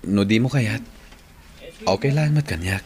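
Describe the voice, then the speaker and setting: A man's voice: lowish voice, lively intonation, measured pace, a little mellow. A man playing a polite young suitor in a Filipino radio drama, tentative and courteous.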